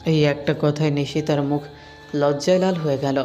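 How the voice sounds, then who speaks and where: A narrator with a flat, even delivery and a mid-pitched voice reads a scripted romance story. Music runs underneath the voice. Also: normal speed.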